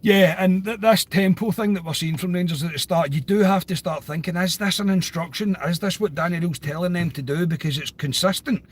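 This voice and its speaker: A Scottish man hosting a casual football podcast, his voice a bit croaky, chatty and repeating phrases for emphasis. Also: high voice, fairly quick, few pauses. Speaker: podcast host.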